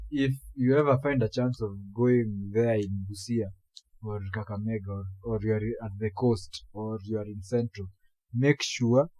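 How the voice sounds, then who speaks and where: Kenyan male podcast co-host, low husky voice, laid-back slangy chat, dry sound. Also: darkish tone, no room sound, low-quality recording. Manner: laid-back, mixes Swahili slang, agrees often.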